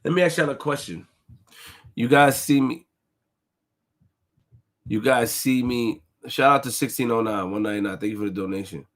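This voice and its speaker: A man hosting a casual livestream, shouting out viewers, reading donations and repeating phrases to his chat. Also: medium pitch, normal speed, long pauses. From Haitian family roots. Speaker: livestream host.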